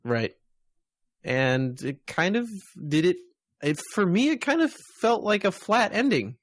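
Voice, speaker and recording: A man's voice: high voice, sing-song, measured pace, no room sound. A man hosting a podcast, giving his opinions in informal review chat and thinking aloud with tag questions. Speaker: podcast host.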